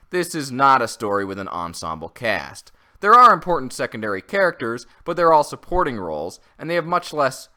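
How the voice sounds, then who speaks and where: A man's voice: mid-range voice, bright, forceful tone. A man narrating a scripted review in an opinionated, conversational way. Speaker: comic and manga reviewer.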